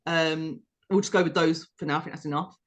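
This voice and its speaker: A British woman co-hosting a podcast, explaining her views in a measured, reflective way and building her points step by step. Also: low-pitched, fairly even intonation. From United Kingdom. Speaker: podcast co-host.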